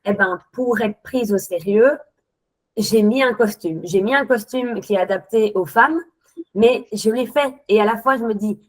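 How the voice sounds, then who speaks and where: A woman's voice: fairly high pitch, normal speed, rough recording. A woman in her thirties, a webinar guest telling her own work experiences, talking hesitantly with many restarts and tangents. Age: 30s. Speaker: webinar guest, account manager. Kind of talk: spontaneous testimony.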